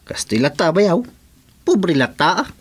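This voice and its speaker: A man's voice: sits high, big pitch swings, normal speed, slight background noise. A man acting as a humble farmer relative in a radio drama. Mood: modest, friendly.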